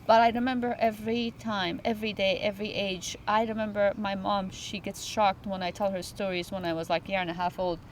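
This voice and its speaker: A woman's voice: medium pitch, fairly even intonation, fairly quick, few pauses, slight background noise, low-quality recording. A woman from Afghanistan, hosting a talk show outdoors and speaking earnestly, often repeating her phrases for emphasis. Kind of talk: heartfelt interview talk. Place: outdoors in a botanical garden.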